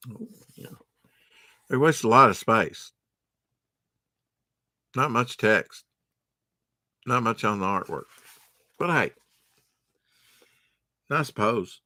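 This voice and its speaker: A man's voice: medium pitch, lively intonation, slow pace, pauses often, bright, forceful tone, dry recording. A man hosting a late-night storytime, reading a storybook aloud and breaking off into rambling, sarcastic asides. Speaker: storytime host.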